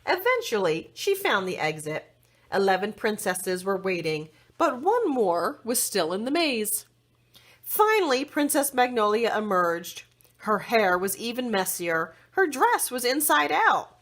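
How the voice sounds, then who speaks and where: A woman reading a children's story aloud expressively, with dramatic, deliberate phrasing for young listeners. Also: medium pitch, very animated, a bit bright.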